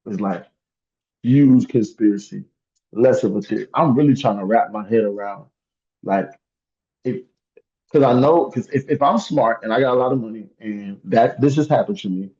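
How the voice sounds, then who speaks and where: Podcast co-host, male, heated and animated, mellow timbre, muffled recording. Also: lowish voice, normal speed. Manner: heated, emphatic, laughs while ranting. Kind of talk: casual podcast discussion.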